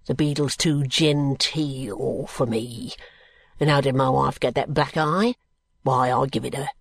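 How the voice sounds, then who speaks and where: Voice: mid-range voice, fairly even intonation, a little slow, gravelly, no room sound, pitched below the performer's own voice. A narrator voicing a surly, drunken working-class brickmaker, blunt and morose, in dialect speech, as in an audiobook. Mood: defiant, morose. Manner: dialect speech, blunt, self-answering rhetorical questions.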